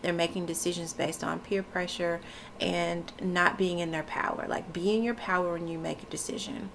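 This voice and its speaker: Woman vlogging w stuffy froggy voice, gravelly, kinda flat, lots of ums. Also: on the low side, normal speed, a little hiss or hum. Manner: chatty, loose, many fillers, laughs often. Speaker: wellness vlogger. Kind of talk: casual talk to camera. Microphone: camera mic.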